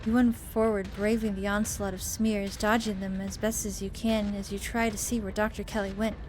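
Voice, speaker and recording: A woman's voice: medium pitch, flat delivery, moderate pace, noisy background. A woman reading visual novel text aloud expressively, with casual commentary as she plays. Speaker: gamer narrating a visual novel playthrough. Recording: music behind the voice. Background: game music.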